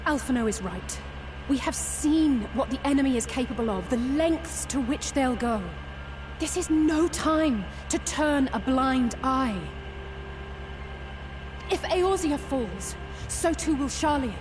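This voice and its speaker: A resolute, high-voiced young girl. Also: lively intonation. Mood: resolute, pleading. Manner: deliberate, earnest. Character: resolute, earnest teenage girl, daughter of a statesman. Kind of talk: dramatic fantasy game acting. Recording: clear background noise and hum.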